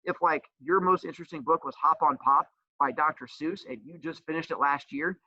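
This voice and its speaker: A man's voice: fairly high pitch, fairly quick, no room sound, highs cut off. A man teacher who also coaches, explaining a homework assignment with playful jokes and teasing. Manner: says he has a loud voice.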